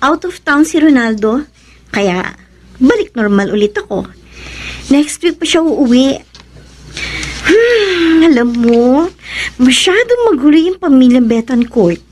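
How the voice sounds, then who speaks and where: An actress playing a flirtatious, affectionate female companion in a radio drama, with coy, drawn-out delivery. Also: high-pitched, sing-song, a little mellow, a little hiss or hum, full character voice. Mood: pensive. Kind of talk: Philippine radio drama acting.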